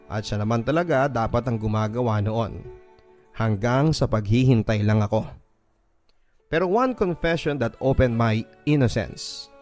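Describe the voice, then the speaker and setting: A man's voice: mid-range voice, expressive, normal speed, a little mellow. A Filipino man hosting a radio drama, reading a listener's first-person story as dramatic, earnest, sentimental narration. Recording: music bed under the voice. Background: music.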